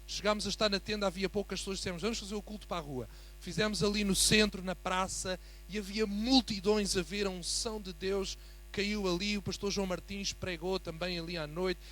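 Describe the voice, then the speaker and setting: A man with a European Portuguese accent preaching as a guest in a church, building from a quiet greeting to fervent emphasis. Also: high-pitched, slight background noise. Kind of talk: sermon.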